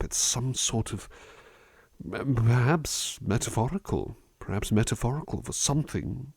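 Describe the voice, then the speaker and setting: A man playing a gentle, reflective butler in a soft-spoken ASMR roleplay, musing slowly and thoughtfully, at times dropping into a near-whisper. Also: lowish voice, vocal fry, slightly rough, no echo, full character voice. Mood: darkly appreciative. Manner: hesitant, thoughtful, musing, unhurried. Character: gentle, reflective male butler reading poetry to his charge.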